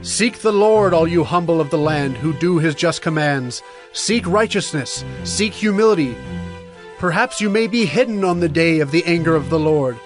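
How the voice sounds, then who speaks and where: An actor playing a stern, urgent Hebrew prophet of doom in a solemn, emphatic dramatized scripture reading. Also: male voice, a little high, expressive, natural voice. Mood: pleading, urgent. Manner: solemn, emphatic, deliberate oratory. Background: music.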